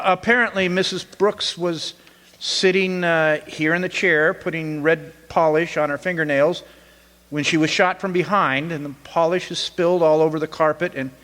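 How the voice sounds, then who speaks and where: Man performing police inspector, radio drama, fairly high voice, methodical, hesitant, echoing stage. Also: fairly bright tone, lightly acted. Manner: hesitant, deliberate, frequent uhs. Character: methodical male police inspector questioning suspects. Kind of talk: old-time radio mystery acting. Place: community theatre stage. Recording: echoey hall stage recording.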